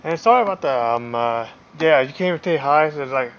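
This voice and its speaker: A woman livestreaming a game, chatting casually in halting, muttered asides. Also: sits low, expressive, highs cut off. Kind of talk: casual live reaction chat.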